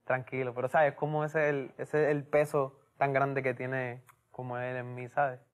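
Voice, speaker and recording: A young man, a band member, talking softly and hesitantly in a casual interview. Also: mid-range voice, flat delivery, moderate pace. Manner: soft, reflective, hesitant.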